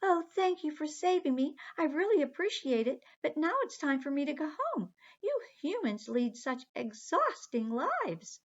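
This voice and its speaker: A woman reading aloud and putting on a tiny mermaid girl with a high, sweet storybook voice, polite and earnest. The pitch leaps around a lot. The recording is dull and lo-fi. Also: very animated, measured pace, hardly any pauses.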